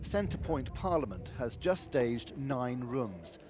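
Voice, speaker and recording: A man's voice: mid-range voice, big pitch swings, slow pace, noisy background. A man narrating a scripted television news report.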